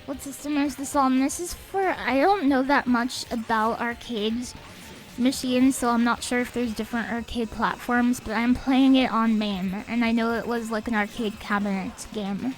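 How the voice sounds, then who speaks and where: Soft, sniffly young woman streaming. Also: fairly high pitch, fairly even intonation, normal speed, rough recording. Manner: soft-spoken, reads chat aloud. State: sniffly, a bit stuffed up. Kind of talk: casual gaming stream chat. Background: faint game music.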